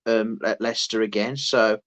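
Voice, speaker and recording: Flat-voiced rambling man. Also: lowish voice. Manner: rambling, many restarts, unhurried. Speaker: podcast co-host. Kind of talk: casual chat.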